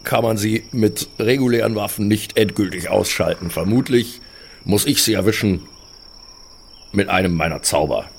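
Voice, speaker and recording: A man's voice: on the low side, normal speed, gravelly, a little hiss or hum. A man chatting casually as a player in an improvised tabletop role-playing game, partly speaking in character.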